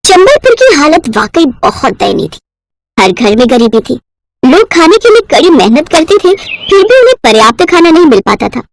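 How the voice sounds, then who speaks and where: A woman's voice: high-pitched, slight background noise. A woman narrating a scripted children's story expressively, as a storyteller.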